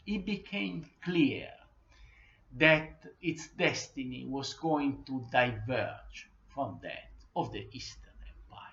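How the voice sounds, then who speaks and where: A man with an Italian accent delivers a history lecture at an unhurried, deliberate pace, his pitch rising and falling widely for emphasis. He clears his throat now and then, and the recording sounds somewhat dull and lo-fi. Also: fairly bright tone. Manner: slow, measured, clears throat often.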